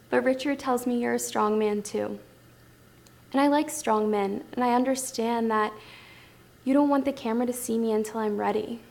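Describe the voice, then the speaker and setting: Woman playing young aspiring actress, high voice, creaky edges, earnest, gushing, hopeful, stage monologue. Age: young adult. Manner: earnest, gushing, girlish politeness. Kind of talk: theatrical monologue.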